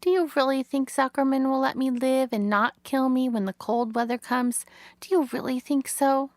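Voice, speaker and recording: A woman's voice: high voice, steady tone, normal speed, dry recording, pitched above the performer's own voice, lightly acted. A woman voicing an anxious, eager young male pig in a storybook, with childlike pleading questions.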